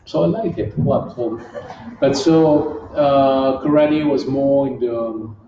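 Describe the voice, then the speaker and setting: A middle-aged man from southern France with a French accent, answering interview questions casually and stopping often to find English words. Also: medium pitch. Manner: halting, searching for English words. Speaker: guest, kickboxing champion. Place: gym. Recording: echoey room, distant muffled mic, faint hiss.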